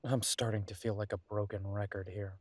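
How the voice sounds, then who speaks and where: Male actor as caring detective boyfriend, lowish voice, slightly husky, earnest, gently coaxing, weary, intimate audio drama. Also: level tone, brisk, dry recording, natural voice. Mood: apologetic, weary.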